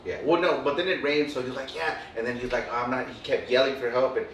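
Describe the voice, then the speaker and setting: A man tells a winding story in loose, casual chat, stumbling through fillers and tossing in swear words. His voice sits at an ordinary pitch. He is heard a little way off the mic in an echoing room, with a faint hum behind him. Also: steady tone, fast talker, fairly bright tone. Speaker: podcast co-host. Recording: roomy, distant mic, faint room noise.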